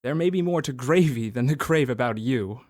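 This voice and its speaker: A man's voice: mid-range voice, lively intonation, moderate pace, heavy background noise, lightly acted. A cranky old miser in a radio play, voiced by an actor. He scoffs and doubts in a querulous tone, and turns wheedling and pleading when he gets frightened. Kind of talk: radio drama acting.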